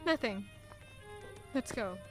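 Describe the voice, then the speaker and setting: A woman's voice: a little high, lively intonation, slow, over music. An actress playing a haunted, bitter young woman who narrates her own story in an audio drama with dramatic intensity. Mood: somber.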